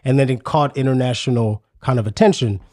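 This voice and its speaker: Guest voice: South African-born man, mid-pitched, dry close mic, thoughtful storyteller. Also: dark tone, no room sound. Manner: reflective, unhurried storytelling with frequent hesitations. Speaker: podcast guest, musician. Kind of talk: casual interview.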